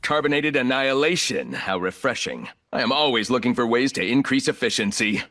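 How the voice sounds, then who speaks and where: An actor playing an arrogant, ruthless, calculating corporate villain in a video game, speaking in clipped, condescending lines. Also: male voice, medium pitch, lively intonation, fairly quick, sharp tone. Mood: dry, disdainful.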